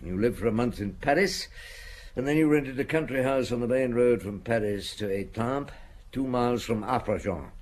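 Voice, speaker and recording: An actor playing a seasoned French police chief inspector in a radio drama, patient and dogged, speaking in a measured, probing, official way with thoughtful hums. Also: male voice, medium pitch, darkish tone, natural voice. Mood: probing, methodical. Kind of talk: radio drama acting, reminiscing and interrogating.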